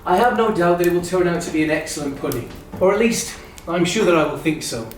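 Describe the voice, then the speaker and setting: Male actor as hopeful, teasing Victorian brother, formal and wordy, lightly high voice, recorded far off. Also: lively intonation, fast. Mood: fond, amused. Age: 30s. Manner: formal, wordy, earnest phrasing. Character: kindly, teasing, hopeful brother in his thirties, Victorian gentleman. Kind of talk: stage-style literary radio drama acting. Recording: very echoey, distant, music behind.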